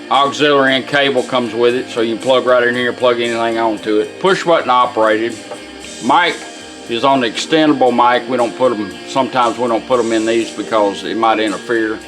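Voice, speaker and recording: A man's voice: medium pitch, a bit bright. A man giving an informal hands-on product demonstration in a folksy, matter-of-fact way as a restorer.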